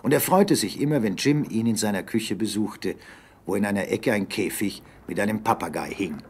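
A man's voice: on the low side, normal speed, a little mellow, a little hiss or hum. A man narrating an adventure story in a dramatic, scripted style.

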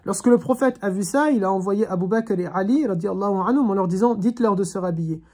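A woman's voice: mid-range voice, quick pace, darkish tone, slightly rough. A woman teaching an online religious class over a video call, speaking in an earnest, reflective way and urging her listeners on. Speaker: Quran teacher.

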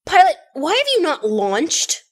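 A woman playing a businesslike young bridge officer in a comedic cartoon fan dub, measured and official. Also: high voice, very animated, noisy background. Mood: businesslike, urgent.

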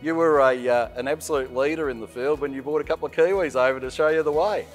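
A man's voice: a little high, quick pace. An Australian man in his sixties hosting a boating show, interviewing guests in a workshop and at a marina with upbeat, leading questions. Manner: hearty, upbeat, leading questions ending in "isn't it?". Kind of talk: friendly on-location interview. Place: boat workshop and outdoor marina. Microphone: lapel mic. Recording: faint background music.

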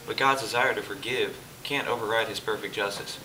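A man acting out a calm Jesus who explains things patiently. His voice sits at a middle pitch. It is heard from across a church hall, with music behind. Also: fairly quick. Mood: earnest, patient. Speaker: church play actor. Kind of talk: amateur church stage play. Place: church hall stage, indoors. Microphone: far camera. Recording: distant camera with music behind.

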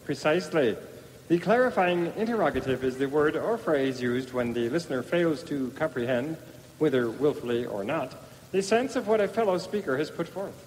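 A man's voice: fairly high pitch, expressive, moderate pace, long reverb tail, slight background noise, lightly acted, low-quality recording. An actor playing a fussy, pompous male language pedant with precise, lofty diction, in a radio comedy sketch. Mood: exasperated. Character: fussy, pompous male word pedant, prim and easily offended. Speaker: Mister Literal, pedantic language expert. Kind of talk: 1990s radio comedy acting.

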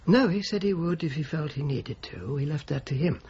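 Thoughtful churchman, radio drama: very raspy, measured yet melodic. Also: a little high, lively intonation, a little mellow, muffled recording, exaggerated voice. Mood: explanatory. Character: thoughtful, courteous Neapolitan churchman commissioning sacred art. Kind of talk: naturalistic radio drama acting.

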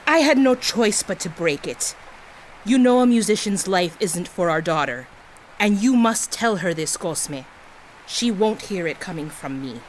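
Actress playing a stern, animated middle-aged mother. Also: mid-range voice, unhurried, slight background noise, close to an everyday voice, low-quality recording. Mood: firm, resigned. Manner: firm, blunt, insistent. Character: stern, controlling mother of a grown daughter. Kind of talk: audio drama acting.

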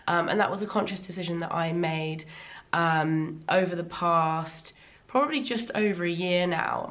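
A woman in her thirties chatting casually in a vlog from a bright living room, her voice still slightly worn after laryngitis, enthusiastic and full of ums. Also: fairly low pitch. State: recovering from laryngitis, voice a little worn. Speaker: fashion vlogger. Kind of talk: casual sponsored haul vlog.

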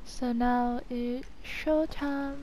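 Slow, high-pitched woman gamer. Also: fairly even intonation, dark tone, slight background noise, rough recording. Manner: halting, thinking aloud. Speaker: gamer, channel host. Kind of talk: casual video game playthrough commentary. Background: game music and sound.

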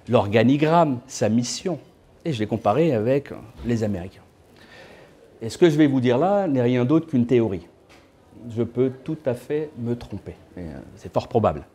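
A man in his thirties lectures to a small audience from his seat in an indoor room by a window, picked up by a camera a short way off. His voice is soft and warm. He keeps the tone loose and chatty, slipping in playful asides to the listeners. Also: mid-range voice, animated, normal speed, husky. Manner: conversational, with joking asides to the audience. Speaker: guest speaker.

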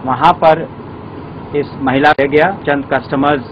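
Rapid-talking police officer outdoors. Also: male voice, a little high, fairly even intonation. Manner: rapid, clipped. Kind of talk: press statement. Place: outdoors on a street. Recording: muffled outdoor field recording with traffic.

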